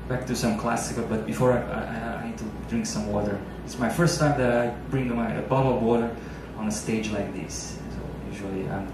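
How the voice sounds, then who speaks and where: A man's voice: on the low side, fairly even intonation, brisk, few pauses. Italian guy joking between piano pieces, heard from far back, noisy room. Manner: chatty, joking, non-native phrasing. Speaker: pianist entertainer. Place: small ship lounge stage, indoors. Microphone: handheld mic, filmed by far camera. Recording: echoey lounge from far off.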